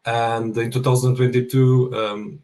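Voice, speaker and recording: A middle-aged man from Portugal with a Portuguese accent, speaking as an interview guest in a halting way, often repeating words. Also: medium pitch, level tone, darkish tone. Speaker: guest speaker, project controls professional. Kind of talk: interview self-introduction.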